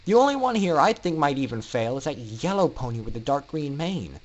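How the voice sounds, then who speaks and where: A brash teenage girl pony from a story, put on by a male reader. The voice is pitched well up, confident and teasing, with punchy, emphatic delivery. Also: sing-song, brisk, highs cut off. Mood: cocky, teasing.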